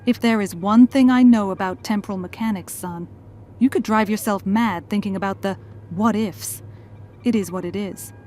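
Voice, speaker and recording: A woman's voice: medium pitch, moderate pace, mellow, close to an everyday voice. A woman playing a thoughtful, gracious scientist and mother in a fan audio drama. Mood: consoling. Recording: faint background noise.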